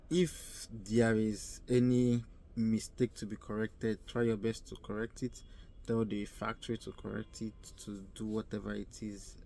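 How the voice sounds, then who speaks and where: A young man from Nigeria with a Nigerian accent giving earnest advice in a casual vlog, often repeating himself and pausing to search for words. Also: on the low side, mellow. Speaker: vlogger. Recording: faint ambient noise.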